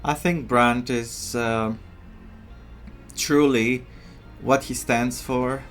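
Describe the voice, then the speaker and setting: A man giving casual, musing playthrough commentary as a game streamer, with halting reactions. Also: medium pitch, steady tone, a bit bright. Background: game music.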